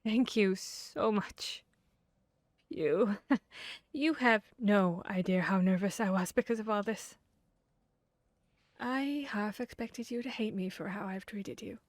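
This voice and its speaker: A woman playing a guarded, bashful vampire hunter in an intimate ASMR roleplay, speaking earnestly and haltingly. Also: medium pitch, dry recording, studio quality, lightly acted. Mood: relieved, tender. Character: guarded, bashful female vampire hunter confessing tender feelings. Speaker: audio roleplay voice actor.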